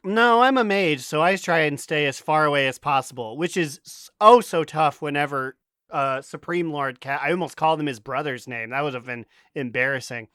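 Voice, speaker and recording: A man with a fairly high, clean voice chats as a podcast co-host. He is bawdy and joking, and the sound is close and dry. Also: moderate pace, a bit bright, smooth, dry recording. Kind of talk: casual comedic banter.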